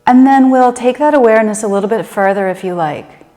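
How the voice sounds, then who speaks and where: Woman guiding meditation in a reverberant room. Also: a little high. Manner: gentle, unhurried, conversational instruction. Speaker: meditation guide. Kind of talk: guided meditation. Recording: reverberant room with long echo.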